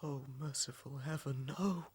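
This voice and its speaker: An actor playing a young English gentleman athlete who hides his agitation behind polite, evasive reassurance in an audio drama. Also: mid-range voice, normal speed, heavy background noise, natural voice. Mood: shaken.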